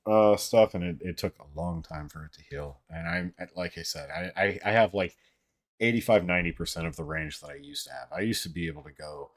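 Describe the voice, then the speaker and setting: A man with a low, deep voice and a somewhat monotone delivery hosts a casual livestream. He rambles and halts as he talks, swearing freely. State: voice somewhat out of sorts. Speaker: livestream host. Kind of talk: casual livestream chat, reading viewer comments.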